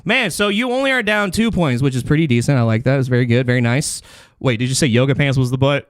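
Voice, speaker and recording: A man's voice: mid-range voice, animated, quick pace, no echo, studio quality. A man hosting a bantering comedy game show on a podcast, with crude, profane, jokey talk.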